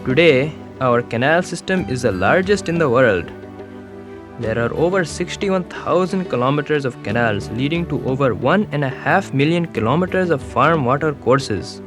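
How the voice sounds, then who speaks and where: A steady, mid-pitched voice tells a young schoolboy's story in the first person, read by a man. The telling is calm and measured, like a documentary narration. Music plays clearly beneath the voice. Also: lightly acted. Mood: earnest. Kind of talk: scripted documentary voice-over.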